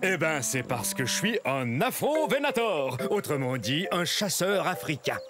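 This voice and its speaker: Lively cocky cartoon hunter dinosaur. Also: male voice, fairly high pitch, a little slow, music in the background. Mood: boastful. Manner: cocky, chatty, casual. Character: boastful, laid-back African hunter dinosaur. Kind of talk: cartoon educational monologue to viewers.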